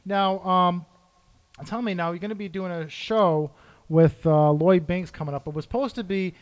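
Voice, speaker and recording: A man hosting a show in a studio, eager and quick to say yes, often halting with fillers. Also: sits high. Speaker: host. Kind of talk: radio-style show hosting and promo read.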